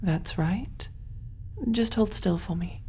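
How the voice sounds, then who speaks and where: A woman voicing a kind, calm alien medic in a soft, breathy, reassuring ASMR roleplay style. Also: lowish voice, slow, slight background noise. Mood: soothing, attentive. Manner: gentle, hushed, reassuring, often near whisper.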